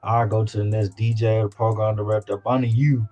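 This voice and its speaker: A man's voice: fairly low pitch, little pitch movement, brisk, dark tone, soft background music. A man from Louisiana with a Southern US accent, talking casually and slangily as an interview guest, often repeating his phrases. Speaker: independent rapper guest.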